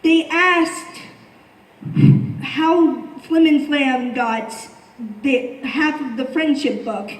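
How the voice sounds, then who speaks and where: A woman asking questions from the audience at a panel, speaking in a hesitant, halting way. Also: high-pitched. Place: convention panel room. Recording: echoey convention room, distant mic.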